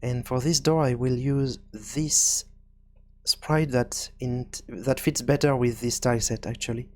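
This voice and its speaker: A man presenting an unscripted software tutorial, explaining step by step with frequent pauses in mid-sentence. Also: medium pitch, steady tone.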